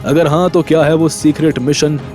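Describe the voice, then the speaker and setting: A man's voice: a little high, steady tone, normal speed, music in the background. A man narrating a scripted audio drama in a dramatic storytelling style.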